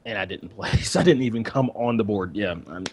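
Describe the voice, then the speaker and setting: A man's voice: on the low side, animated, fairly quick, a little mellow. A man co-hosting a gaming podcast, chatting casually and often repeating his phrases as he goes. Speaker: podcast co-host.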